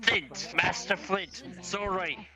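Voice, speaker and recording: Woman as a courteous game roleplay follower, unhurried, formal, insistent, with music playing behind. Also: fairly low pitch. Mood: apologetic.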